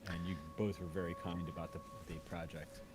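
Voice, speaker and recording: A man in casual conversation, rambling and halting in a modest, self-effacing way. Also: low voice, little pitch movement, very noisy. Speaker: audio drama creator and podcast host; university audio teacher. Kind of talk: casual chat.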